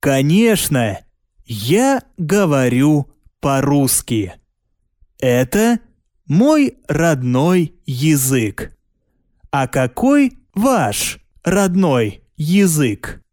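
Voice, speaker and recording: A man reading a language lesson aloud, pronouncing each word deliberately and clearly. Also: fairly high pitch, sing-song, a little mellow, no room sound, studio quality. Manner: carefully spaced, deliberate pronunciation. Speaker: language teacher.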